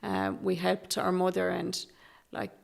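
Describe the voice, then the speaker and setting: A middle-aged Irish woman from Galway, speaking softly and hesitantly as a guest in an interview, with many restarts. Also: on the low side, little pitch movement, a little slow, creaky. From Galway, Ireland. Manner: soft-spoken and hesitant, with many fillers and restarts. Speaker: guest, Traveller activist. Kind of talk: candid interview conversation.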